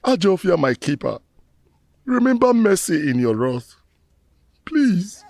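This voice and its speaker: A man's voice: high-pitched, big pitch swings, unhurried, creaky, a little mellow, heavily acted. An actor playing a remorseful middle-aged man who pleads with his ancestors in a formal, proverb-rich lament.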